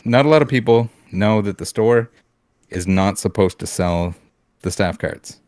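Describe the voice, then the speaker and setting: Brief: low-pitched Canadian man, blunt casual podcast co-host, dry close sound. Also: measured pace, a little mellow, no room sound. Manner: blunt, heated ranting with swearing and restarts.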